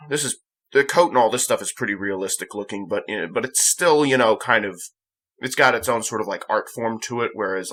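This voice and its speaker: A young man hosting a gaming channel, talking off the cuff in a rambling, halting way, often correcting himself. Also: mid-range voice, bright, forceful tone. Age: young adult. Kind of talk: casual unscripted vlog commentary.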